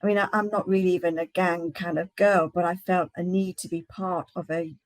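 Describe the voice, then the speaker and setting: A woman's voice: lowish voice, fairly even intonation, dry recording. A woman talks hesitantly as a guest in a discussion, stopping and restarting as she goes. The recording is dry and close, with no room sound. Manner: hesitant, halting, many restarts. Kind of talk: casual discussion.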